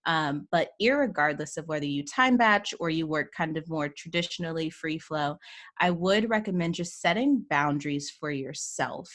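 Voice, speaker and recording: A woman's voice: sits low, normal speed, a bit bright. A woman coach on a video call, chatting enthusiastically with frequent laughs and lots of "like". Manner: enthusiastic, laughs often, says "like" a lot. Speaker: guest, workplace psychology coach. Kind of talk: casual video-call interview.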